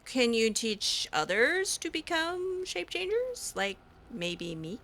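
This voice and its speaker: A woman's voice: a little high, big pitch swings, takes it slowly, fairly bright tone, slight background noise, full character voice. A woman roleplaying a curious young female mage, talking in a hesitant, chatty way full of ums and restarts. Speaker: roleplaying player character. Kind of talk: casual in-character roleplay.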